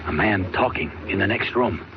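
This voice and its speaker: Low, commanding superhero played by a male actor, stern and resolute, vintage radio serial, heavy noise throughout. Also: normal speed, close to an everyday voice. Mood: stealthy, intent. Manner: commanding, talks himself through his actions. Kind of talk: 1940s radio acting. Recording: muffled, very noisy old radio recording.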